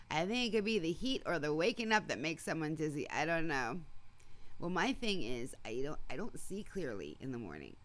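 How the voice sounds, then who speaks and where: A woman's voice: low-pitched, big pitch swings, normal speed. A woman hosting a casual morning livestream, chatting and rambling with viewers by name while she is losing her voice. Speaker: livestream host. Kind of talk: casual chat with live viewers.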